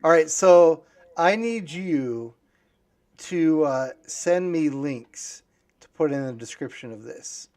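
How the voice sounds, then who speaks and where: A man with a slightly high voice hosts a podcast interview. His delivery is casual and musing, arriving in halting fragments as he thinks aloud. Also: animated, darkish tone. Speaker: podcast host.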